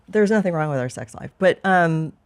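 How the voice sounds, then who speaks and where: A woman's voice: on the low side, expressive, measured pace, clear, resonant tone. Frank middle-aged woman chatting casually. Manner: frank, informal. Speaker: podcast co-host. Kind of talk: candid casual couple chat.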